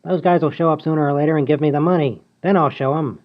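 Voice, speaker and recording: A man's voice: a little high, fairly even intonation, fast talker, muffled recording, lightly acted. A man playing a naive, bungling small-time crook in a radio comedy, defensive and full of excuses. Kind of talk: comedy radio play acting.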